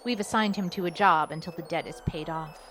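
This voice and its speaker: An actress playing a composed, authoritative spy agency chief in a comedic audio drama, speaking formally and dryly. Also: female voice, lowish voice, a bit bright, faint music behind, natural voice. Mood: official, dry. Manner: formal, measured, dry.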